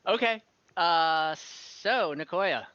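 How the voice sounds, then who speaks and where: A man with a fairly high, bright voice runs a tabletop game as game master. His delivery is casual and conversational, over slightly dull online call audio. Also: lively intonation, slow pace, clean tone, no room sound.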